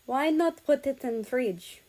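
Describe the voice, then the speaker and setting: A woman voicing an excitable, polite Russian man in a story reading, earnest and a little stiff in his phrasing. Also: high voice, measured pace, voice pushed up. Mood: curious.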